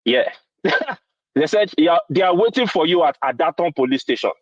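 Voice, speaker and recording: A man's voice: on the high side, sing-song, moderate pace, no room sound. A man with a Nigerian accent giving casual commentary as a caller to a show, heard over a phone call. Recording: dull, dry phone-call sound.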